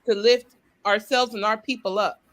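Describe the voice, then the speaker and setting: A woman's voice: medium pitch, fairly even intonation, normal speed, fairly bright tone. A woman speaking earnestly as a panelist, speeding up when she gets stirred. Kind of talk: panel discussion.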